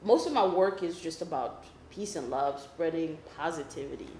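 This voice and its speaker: A young woman from Kenya raised in Kansas City, chatting casually as a guest, relaxed with lots of fillers. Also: sits low, moderate pace, roomy sound, a little hiss or hum, poor audio. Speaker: photographer guest. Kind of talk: casual interview chat.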